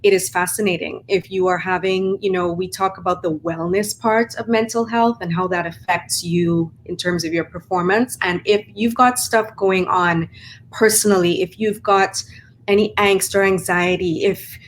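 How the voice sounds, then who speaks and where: A woman's voice: lowish voice, fairly even intonation, normal speed, a little hiss or hum, poor audio. A middle-aged woman from Trinidad and Tobago with a Trinidadian accent, a performance coach giving earnest explanations in an interview. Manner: earnest, explanatory, uses "right?" and "like" often. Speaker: mental performance coach, guest. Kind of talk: conversational interview.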